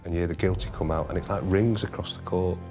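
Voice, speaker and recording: A man's voice: sits low, steady tone, quick pace, over loud music. A man from the prosecution side, recalling a case in a documentary interview.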